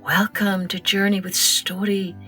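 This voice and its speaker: A woman hosting a children's storytelling podcast and reading a story in a gentle, expressive way. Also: medium pitch, soft background music. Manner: expressive, gentle, slow and clear.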